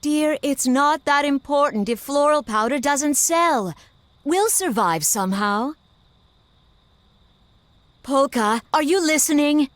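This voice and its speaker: Game role: worried mother, slow motherly delivery in a fairly high voice, pleading and tender. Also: lively intonation, dry recording. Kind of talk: English video game dub acting.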